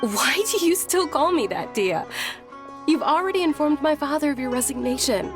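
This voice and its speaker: Game noblewoman: young, gentle, well-mannered lady, high register, expressive, sincere and polite. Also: normal speed. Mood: gentle, glad. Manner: courteous, sincere. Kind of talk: video game voice acting. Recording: music behind the voice.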